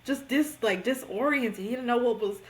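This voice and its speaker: A young woman from Georgia co-hosting a podcast, chatting casually in an animated, slangy way and laughing often. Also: a little high, normal speed. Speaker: podcast co-host. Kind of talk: casual chat.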